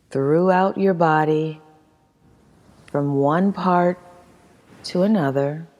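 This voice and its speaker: A woman leading a guided meditation as instructor, reading in a soothing, measured manner with long pauses. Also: fairly low pitch, dark tone. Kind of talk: guided meditation, scripted narration. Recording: long hall-like reverb.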